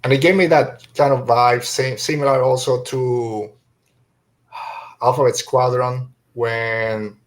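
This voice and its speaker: A man's voice: medium pitch, fairly even intonation, slow. Casual man hosting a podcast chat. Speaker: podcast host. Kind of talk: casual review discussion.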